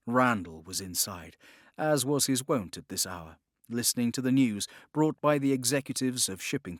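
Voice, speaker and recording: A man narrating scripted fiction as an audiobook reader, with measured, dramatic, expressive storytelling. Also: medium pitch, dry recording, clean studio sound.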